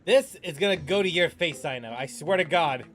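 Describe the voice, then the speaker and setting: A man's voice: on the high side, animated, moderate pace, fairly bright tone. A man giving casual, sarcastic playthrough commentary on a game with joking asides. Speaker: gaming video host reacting to a game. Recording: game music behind the voice.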